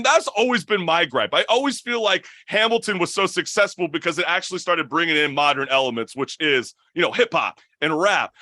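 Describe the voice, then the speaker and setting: Bright, high-voiced male talk show host. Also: no room sound, studio quality. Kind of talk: casual chat.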